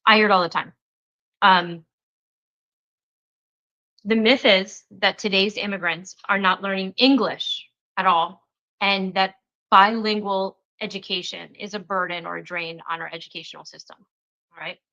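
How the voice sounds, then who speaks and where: A woman's voice: mid-range voice, steady tone, moderate pace, pauses often, pushed and bright. A woman co-hosting a casual talk show, explaining her points earnestly and with emphasis. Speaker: co-host. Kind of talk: casual chat. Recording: slightly dull lo-fi recording.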